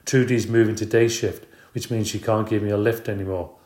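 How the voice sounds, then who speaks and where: A man's voice: fairly low pitch, steady tone, brisk, darkish tone, reverberant, close to an everyday voice. A man reading aloud, voicing a tired, angry working mother who complains and speaks sarcastically.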